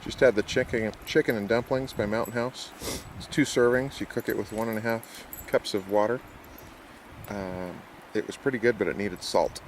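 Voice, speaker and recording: A man's voice: fairly low pitch, fairly even intonation, normal speed, a little hiss or hum. A man casually narrating his backpacking trip outdoors on a mountain trail in an easygoing, wry way, recorded on a handheld camera mic.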